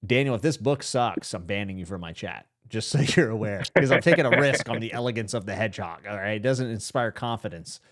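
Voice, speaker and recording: Male podcast host, slightly low voice, loose self-deprecating chatter with frequent laughs. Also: a little fast, hardly any pauses. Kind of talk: casual livestream chat.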